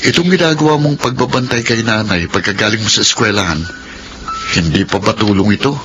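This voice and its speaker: A man's voice: lowish voice, fairly quick, close to an everyday voice. A man with a Filipino accent acting a struggling, proud young breadwinner and protective big brother in a radio drama. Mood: reassuring, tender. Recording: music bed behind the voice.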